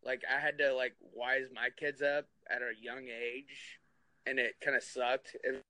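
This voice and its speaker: A man's voice: mid-range voice, little pitch movement, a little slow, pushed and bright, no echo. A man in his thirties from New Jersey, hosting a casual podcast chat in a loose, profane, laughing way. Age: 30s. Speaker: podcast host.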